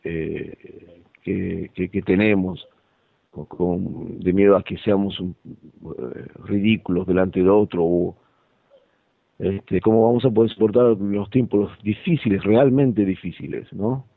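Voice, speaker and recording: A man talking reflectively about faith in a halting, searching way over a thin phone-like connection. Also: sits low, steady tone, creaky, dry recording. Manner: hesitant, halting. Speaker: participant in a religious discussion. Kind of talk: reflective religious talk.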